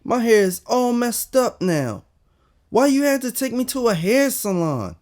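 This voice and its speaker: A man's voice: very high-pitched, very animated, measured pace, a little mellow, pitched above the performer's own voice, exaggerated voice. An indignant young boy, played by a man, complains in a raised, whiny voice. He sounds accusing and put out, as dialogue in an audiobook memoir. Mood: upset, accusing. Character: upset, indignant young boy, the narrator as child.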